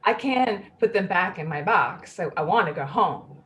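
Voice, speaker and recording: A woman in a play reading, playing a naive, eccentric woman with halting, repetitive phrasing. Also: on the low side, animated, a bit bright, a little hiss or hum. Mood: wistful, determined. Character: naive, eccentric woman upset about a talking icebox. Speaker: actor in a staged play reading. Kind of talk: readers theatre acting.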